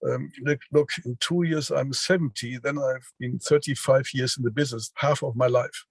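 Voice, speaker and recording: A man in his sixties giving a motivational business talk over a video call, halting often with fillers and restarts. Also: mid-range voice, fairly even intonation, creaky, no room sound. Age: 60s. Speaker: seminar speaker.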